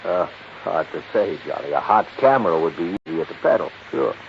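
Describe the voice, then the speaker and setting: On a muffled, hissy old radio recording, a fussy American insurance boss with a low voice. He explains every detail emphatically, swinging from grumble to exclamation in classic 1950s radio drama style. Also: lively intonation, fairly quick. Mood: dry, emphatic. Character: cigar-smoking, worried, fussy male insurance manager.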